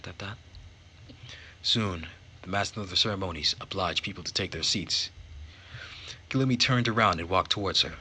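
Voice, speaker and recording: A man's voice: deep voice, pushed and bright. A man narrating a visual novel and commenting casually as he plays. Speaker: let's player. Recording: faint background noise.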